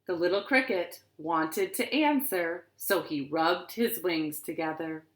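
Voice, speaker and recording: A woman reading stories and rhymes aloud to young children in an expressive, deliberate way, recorded in a living room. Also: fairly low pitch. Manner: expressive, deliberate, asks the listeners questions. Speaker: children's librarian storyteller. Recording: roomy, echoing living-room sound.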